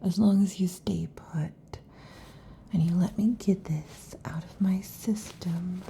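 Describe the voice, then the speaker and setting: A woman voicing a restless, affectionate female lamia in an ASMR roleplay, whispering softly. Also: on the low side, fairly even intonation, takes it slowly, gravelly, a little hiss or hum. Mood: whispery, intimate. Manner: soft intimate whispering. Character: restless affectionate female lamia, snake-tailed monster girl.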